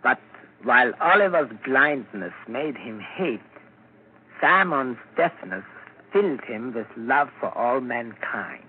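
An actor playing a composed, probing doctor in a 1940s radio drama, measured and authoritative. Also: male voice, mid-range voice, animated. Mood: probing, musing. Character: composed, probing male doctor treating mental cases. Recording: crackly, narrow-band 1940s radio broadcast.

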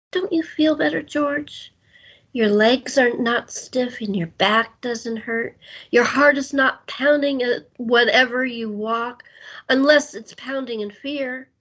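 Woman acting slow, husky, soothing spirit guide. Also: fairly high pitch. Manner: gentle, deliberate, comforting. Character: soothing otherworldly woman guiding souls onward. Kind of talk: fantasy audio drama acting. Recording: dull lo-fi sound.

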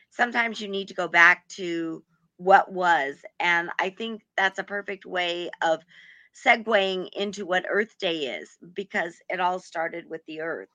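A woman's voice: fairly low pitch, sharp tone, no room sound. A woman from California, a guest speaking reflectively in a casual interview.

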